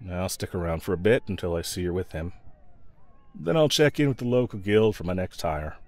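A man's voice: sits low, lively intonation, a little fast, a little mellow. A man playing a snarky, pragmatic yet protective mercenary escort in a fantasy audio roleplay, talking to the listener in a wry, teasing, laid-back way.

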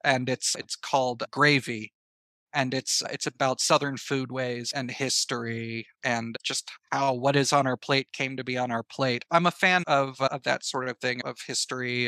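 A man's voice: mid-range voice, fairly even intonation, moderate pace, sharp tone, dry recording. A man speaking casually as an interview guest, sharing advice and experience in a conversational way. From American Southeast. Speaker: podcast guest, writer and filmmaker.

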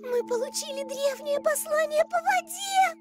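An actor voicing a tiny, curious, excited childlike creature in a children's cartoon. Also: very high voice, moderate pace, over loud music. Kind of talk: children's musical cartoon dialogue.

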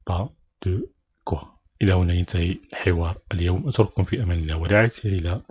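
A man teaching a French dialogue, saying each line slowly and repeating it several times, with short explanations in between. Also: sits low, a little mellow.

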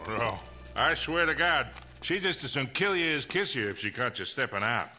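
A man playing an older, gruff and folksy rogue treasure hunter with an American accent in a cinematic video game, talking with a colourful storyteller's swagger. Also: medium pitch, lively intonation, normal speed, pushed and bright. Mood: wistful bragging. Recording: music behind the dialogue.

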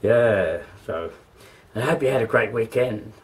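A middle-aged Australian man with a hoarse voice, chatting casually to camera in a home vlog and laughing often between remarks. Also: lowish voice, animated, unhurried. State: hoarse, losing his voice. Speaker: vlogger. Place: home, indoors and in a backyard. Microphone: camera mic.

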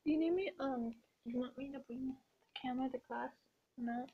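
A woman's voice: sits high, normal speed. A teenage girl chatting casually with her friend in a school hallway, bossy and giggly, sometimes dropping to a whisper, recorded on a close laptop webcam. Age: teens. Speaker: vlogger. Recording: dull lo-fi webcam audio with clear background noise.

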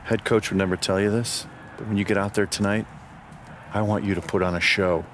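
An actor playing a middle-aged American assistant college basketball coach, chummy and urging, in a game cutscene. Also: male voice, on the low side, fairly even intonation, brisk, a bit bright, a little hiss or hum, close to an everyday voice. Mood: hyped, urging.

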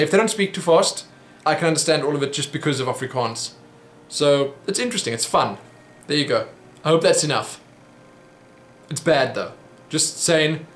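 A man's voice: fairly high pitch, fairly bright tone. Chatty young South African man, echoey room. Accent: South African English. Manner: rambling, chatty, frequent ums and restarts. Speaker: vlogger answering viewer questions. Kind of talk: casual Q&A monologue. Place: small home office room. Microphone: webcam at desk.

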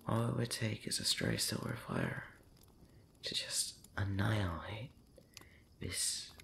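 Slow, whispery man with a gravelly creak. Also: deep voice, huge pitch swings. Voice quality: soft, breathy, often whispering. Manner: slow, rambling, hesitant with long pauses. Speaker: audio roleplay voice actor. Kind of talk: intimate ASMR roleplay monologue.

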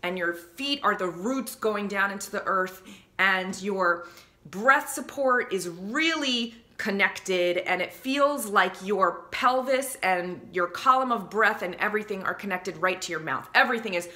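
A woman in her thirties speaks straight to the camera from a small room indoors, chatty and animated as she teaches singing. Her voice is bright and forward, and she drops readily into short sung phrases to illustrate. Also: mid-range voice, measured pace. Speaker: vocal coach.

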